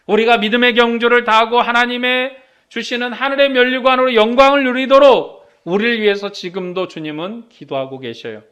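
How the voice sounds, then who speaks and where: Very high-pitched man preaching emphatically. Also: fairly bright tone, clear, resonant tone. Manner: emphatic, asks rhetorical questions and answers them. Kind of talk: sermon to a congregation.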